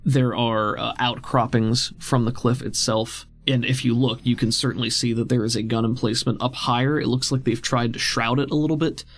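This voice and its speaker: A man's voice: mid-range voice, fairly even intonation, normal speed, hardly any pauses. A man acting as game master, describing scenes in a conversational storytelling way for a tabletop roleplaying game. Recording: music behind the voice. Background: background music.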